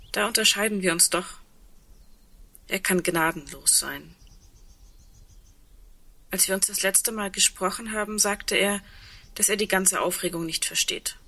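An actress playing a wry, resigned, reflective woman in a radio drama, with a husky voice and dry humor. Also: mid-range voice, pushed and bright. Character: tall, wry, resigned woman insolvency administrator facing doomsday. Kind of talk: radio drama dialogue acting.

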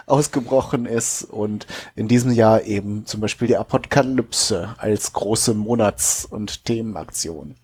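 A man's voice: on the low side, a little slow, hardly any pauses, darkish tone, breathy edge. A man co-hosting a podcast, explaining things in a casual, unscripted way. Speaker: podcast co-host.

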